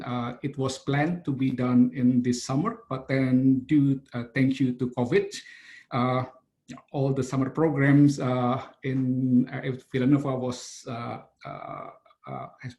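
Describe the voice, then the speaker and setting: A middle-aged man from Indonesia, giving a webinar lecture over a video call, halting and full of "uh" fillers and restarts. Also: medium pitch, darkish tone. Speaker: engineering professor.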